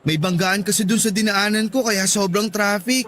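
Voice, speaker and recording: A man's voice: sits high, steady tone, normal speed, a bit bright, soft background music. A man playing an apologetic, pleading young boyfriend in a radio drama, coaxing and eager to please. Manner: placating, coaxing.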